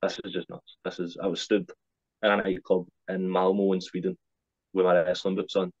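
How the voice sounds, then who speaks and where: Deep flat-voiced young man. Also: moderate pace, no echo. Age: young adult, 19. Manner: hesitant, many ums and restarts. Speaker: wrestler, guest. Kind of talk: casual interview answers. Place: small room at home. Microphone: webcam on a video call. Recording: slightly dull lo-fi call audio.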